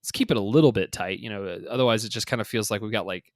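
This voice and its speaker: A man's voice: on the low side, rapid-fire, dry recording. Casual man co-hosting a podcast, dry sound. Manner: conversational, full of 'like' and 'you know'. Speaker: podcast co-host. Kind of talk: casual gaming podcast chat.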